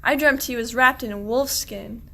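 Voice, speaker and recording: A woman acting an excitable, suspicious shepherd in a rhyming comic radio play, with theatrical exclamations. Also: a little high, normal speed. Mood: startled, anxious. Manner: theatrical, exclamatory rhymed verse. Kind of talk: verse radio play acting of a medieval comedy.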